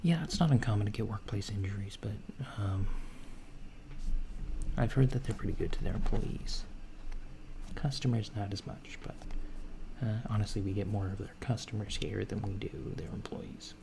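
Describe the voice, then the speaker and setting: A man playing a calm alien doctor disguised as a human, speaking softly and hesitantly in a gentle, clinical ASMR roleplay. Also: on the low side, normal speed. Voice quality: soft, breathy, near whisper. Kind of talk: soft-spoken ASMR roleplay. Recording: lo-fi with clear background noise.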